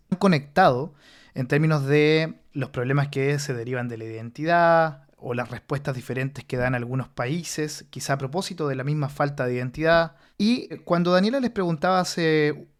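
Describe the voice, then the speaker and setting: A man's voice: fairly high pitch, normal speed. A man hosting a podcast, formally summarizing what the guests have said. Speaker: podcast host. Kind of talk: moderating a discussion.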